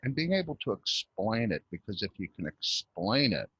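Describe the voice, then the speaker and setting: A man's voice: lowish voice, big pitch swings, normal speed, a bit bright, breathy edge, dull audio. A man from the Southern United States with a Southern accent, hosting a casual interview chat. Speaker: interview host.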